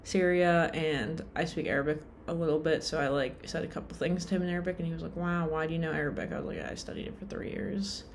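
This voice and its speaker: Low-voiced woman rambling casually. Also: fairly even intonation, fast talker, few pauses, slight background noise. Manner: rambling, lots of "like" and "um", self-deprecating. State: tired. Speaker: vlogger.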